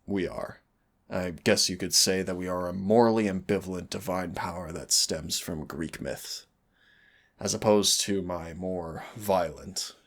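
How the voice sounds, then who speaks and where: A man voicing a gentle, affectionate demon prince in an intimate roleplay audio, husky and gravelly, speaking tenderly and without hurry. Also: low voice, exaggerated voice. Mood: proud, explaining. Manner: tender, unhurried, wistful storytelling. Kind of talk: intimate roleplay audio acting, soft and soothing.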